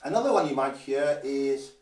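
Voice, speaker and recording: An older man from Guernsey teaches greetings patiently, pausing to say each phrase slowly and clearly. His voice is mid-pitched and sits back from the mic. A roomy echo surrounds him. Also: animated, a little mellow, recorded from a distance. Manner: measured, careful, slows down to model phrases. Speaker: language teacher. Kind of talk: instructional explanation.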